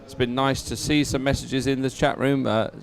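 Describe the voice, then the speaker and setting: A man co-hosting live sports coverage, explaining things in a measured way. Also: mid-range voice, flat delivery, gravelly. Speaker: sports commentator and co-host. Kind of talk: live sports broadcast commentary.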